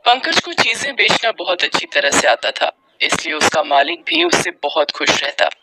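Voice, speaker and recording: A man narrating a scripted moral story as a storyteller, in a dramatic, expressive manner. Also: high-pitched, moderate pace, dry recording, poor audio.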